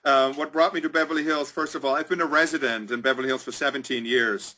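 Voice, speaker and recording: A man's voice: medium pitch, fairly even intonation, fast, bright, forceful tone. A middle-aged man from Germany, a guest on a video-call interview, talking in an upbeat, chatty way with many ums. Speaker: executive director of a chamber of commerce, guest. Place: indoors, small room.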